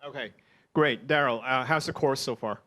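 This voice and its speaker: A man's voice: mid-range voice, moderate pace, a bit bright. A man moderating an online Q&A session, speaking hesitantly with many ums and uhs. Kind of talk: hosting an online Q&A.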